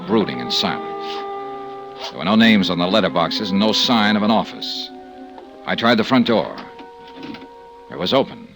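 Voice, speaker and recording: An actor playing a wry, hard-boiled male investigator in a 1950s radio drama, with a clipped, deadpan, wisecracking manner. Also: on the low side, poor audio. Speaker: series lead and narrator. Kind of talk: 1950s radio detective drama acting, first-person narration. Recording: vintage radio recording with music.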